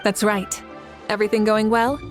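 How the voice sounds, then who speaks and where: A woman's voice: medium pitch, flat delivery, normal speed, soft background music, natural voice. An actress playing a composed, caring young woman leader in a video game, speaking with assurance and consideration.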